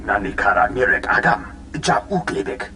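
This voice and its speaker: A sci-fi alien from a game, jabbering in guttural invented syllables. The voice is low, with pitch that jumps up and down in a lively way. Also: male voice, normal speed, a little hiss or hum. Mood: earnest explaining. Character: alien adviser babbling a guttural invented language. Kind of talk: video game creature acting.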